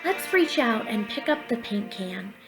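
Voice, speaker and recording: A woman's voice: fairly high pitch, very animated, unhurried, rough recording. A young woman speaking as a drama teacher, guiding children through an activity in an encouraging, explanatory way, recorded at home on a webcam. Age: young adult. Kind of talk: instructional talk and guided pantomime narration for children. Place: living room at home, indoors. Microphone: webcam or laptop at arm's length. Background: soft quiet music.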